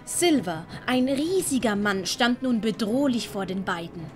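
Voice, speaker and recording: High-voiced woman narrating a story. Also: animated, a little slow, soft background music. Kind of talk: scripted audio drama storytelling.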